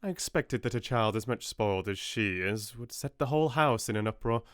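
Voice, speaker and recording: A man voicing a stern, disapproving storybook headmistress with a sharp manner. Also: on the low side, a little fast, no room sound, studio quality, close to an everyday voice.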